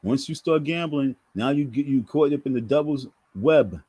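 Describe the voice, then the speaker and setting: A man's voice: medium pitch, moderate pace, warm tone, husky, dry recording. A man giving preachy casual commentary to his viewers, emphatic and repetitive in a sermon-like way. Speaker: online commentator.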